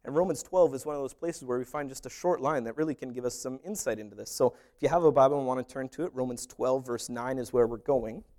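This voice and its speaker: A man preaching a sermon as pastor, animated, with humorous asides and little acted-out dialogues. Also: mid-range voice, fast, hardly any pauses.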